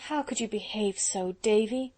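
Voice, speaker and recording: A woman reading aloud and voicing a gentle young schoolteacher who speaks softly and sorrowfully. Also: medium pitch, normal speed, natural voice. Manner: soft, sorrowful, earnest.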